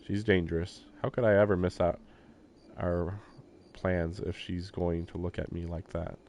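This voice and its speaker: A man's voice: low-pitched, flat delivery, moderate pace, a little mellow, a little hiss or hum. A man live-streaming a visual novel, reading the text aloud and adding casual, wry, offhand comments. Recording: faint game music behind the voice. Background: game soundtrack.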